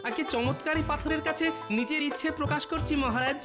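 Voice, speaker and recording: A man's voice: very high voice, brisk. A man voicing a clever, witty court jester in a Bengali comedy cartoon, theatrical and humbly pleading as he explains himself. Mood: clever, explaining. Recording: music behind the voice.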